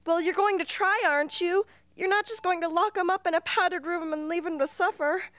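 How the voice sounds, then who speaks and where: A woman's voice: very high-pitched, fairly even intonation, a little fast, a bit bright. A woman playing an earnest, protective young girl in an amateur radio play. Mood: challenging.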